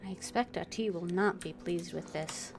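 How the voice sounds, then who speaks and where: A woman streamer talking casually to her viewers during a gaming stream, often pausing and restarting with fillers. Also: on the low side, noisy background. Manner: halting, with many fillers and restarts.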